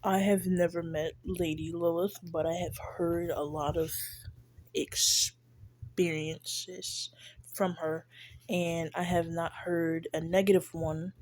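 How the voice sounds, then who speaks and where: A stuffy-nosed speaker giving casual, shy, hesitant commentary on a clip, with many fillers and restarts. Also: mid-range voice. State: stuffy, congested nose. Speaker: reaction video creator. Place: lives in Texas.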